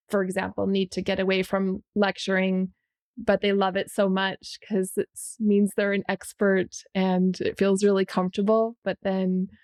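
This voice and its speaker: A woman's voice: medium pitch, darkish tone, no echo, clean studio sound. A woman hosting a podcast interview, thinking aloud, reflecting on what her guests say and checking in with them. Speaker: podcast host. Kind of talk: conversational interview.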